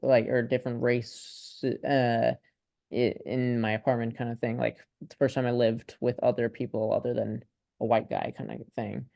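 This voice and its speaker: Young man, creaky and halting. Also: medium pitch, flat delivery, warm tone, dry recording. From Ukraine. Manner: tentative, halting, thinking aloud. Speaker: student in a class discussion. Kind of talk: casual, rambling group discussion. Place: video call.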